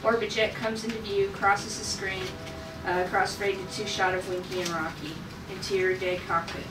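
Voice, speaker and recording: A woman narrating stage directions at a script table read, in an informal, halting way. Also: mid-range voice, flat delivery. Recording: echoey room, distant mic, noisy.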